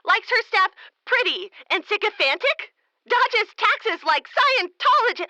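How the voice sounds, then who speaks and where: A woman's voice: extremely high pitch, very animated, unhurried, pushed and bright, no echo, exaggerated voice. A woman playing an imperious, indignant mayor, haughty and scolding, in a comedic audio drama. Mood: indignant, threatening.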